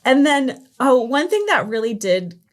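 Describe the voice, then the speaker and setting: A woman's voice: sits high, sing-song, a little slow. A woman telling personal stories casually and animatedly as a podcast guest. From Los Angeles area, United States. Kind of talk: casual conversational storytelling.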